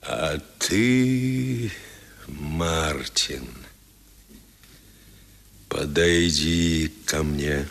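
A man's voice: a bass voice, drawn-out words, vocal fry, long pauses, fairly bright tone, heavily acted. An actor playing a stern, proud old grandfather with theatrical, emphatic phrasing in a 1970s radio drama. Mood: tender, remorseful. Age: older.

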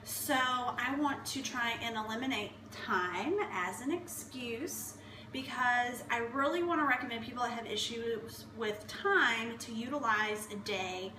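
Young woman vlogging in an echoey room. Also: fairly high pitch. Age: young adult. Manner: chatty and instructive, often checks in with "okay?". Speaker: fitness coach. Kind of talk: casual how-to vlog. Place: open-plan living room at home, indoors. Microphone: camera mic from a few feet away. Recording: echoey room, distant mic, steady hiss.

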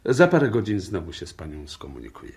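An actor playing a composed, methodical police inspector in a radio drama, speaking formally and courteously with probing intent. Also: male voice, low voice, wildly swooping pitch, quick pace, husky, exaggerated voice. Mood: calm, firm. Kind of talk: 1960s Polish radio drama acting.

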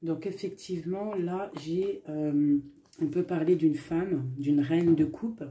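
Low, mellow-voiced woman reading tarot. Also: steady tone, takes it slowly, slight background noise, low-quality recording. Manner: chatty, many fillers, affectionate asides to viewers. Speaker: tarot reader. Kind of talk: casual tarot reading to camera. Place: indoors at a table with tarot cards.

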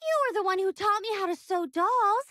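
A woman's voice: very high-pitched, fairly quick, pushed and bright, no room sound, studio quality. A woman voicing an unhinged, vengeful, sadistic pirate younger sister in a video game, with a taunting, manic, theatrical delivery. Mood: manic, gleeful.

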